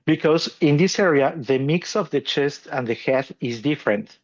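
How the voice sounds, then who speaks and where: A man's voice: on the high side, normal speed, highs cut off. A man hosting a podcast, explaining opera singing technique, chuckling often and restarting his phrases. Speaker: podcast host.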